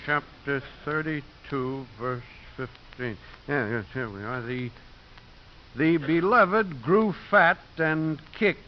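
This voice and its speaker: Slow, puzzled man. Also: fairly high pitch. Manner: deliberate, halting. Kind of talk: 1950s radio drama acting. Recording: muffled, hissy old 1950s radio recording.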